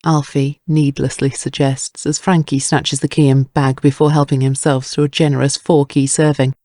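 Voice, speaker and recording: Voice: mid-range voice, flat delivery, normal speed, warm tone, dry recording, clean studio sound. A narrator reading scripted dramatic prose for an audio drama.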